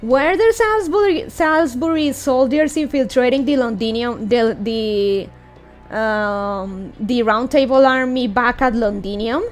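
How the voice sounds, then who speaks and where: A woman with a high voice comments casually during a game readalong. She muses aloud and drops in little interjections. Soft music plays faintly behind her. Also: a little slow. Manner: musing, hums and interjects reactions. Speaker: streamer reading a video game story aloud.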